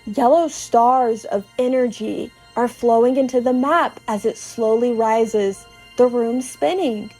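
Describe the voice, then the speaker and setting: A woman with a fairly high voice narrates a video game like a dramatic reading. Her pace is slow and her voice creaky, with descriptive phrases full of wonder. Faint music plays behind her. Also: darkish tone, poor audio. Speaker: podcast host playing a video game.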